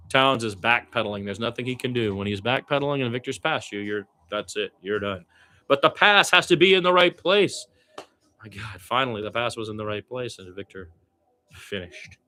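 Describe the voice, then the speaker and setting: Casting: male basketball play-by-play commentator, average pitch, informal and partisan, excitable when the action peaks. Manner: candid, colloquial, excitable on big plays.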